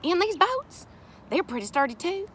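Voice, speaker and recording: A woman voicing a gentle, patient, folksy country woman in a storybook, reassuring and plain-spoken. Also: very high-pitched, big pitch swings, moderate pace, a little hiss or hum, voice pushed up, heavily acted. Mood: proud.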